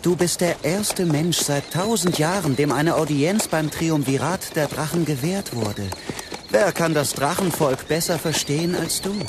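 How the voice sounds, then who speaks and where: A man playing a gentle, insecure mage, earnest and apologetic, in a fantasy audio drama. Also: fairly high pitch, unhurried, close to an everyday voice. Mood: admiring. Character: gentle, insecure male mage, full of wonder. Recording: clear background noise.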